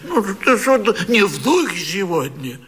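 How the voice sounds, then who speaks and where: An actor playing a fussy, fond old husband in a radio comedy, stammering and repeating himself in a coaxing, placating way. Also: male voice, high voice, huge pitch swings, normal speed, a bit bright, slightly rough, big-room sound, exaggerated voice. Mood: fussy, puzzled. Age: older. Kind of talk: classic radio comedy vaudeville acting.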